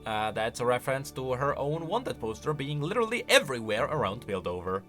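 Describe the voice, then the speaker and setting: A man giving unscripted reaction commentary on game voice lines in an animated, exclamatory way. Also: medium pitch, fairly quick, faint music behind. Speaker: YouTube commentator.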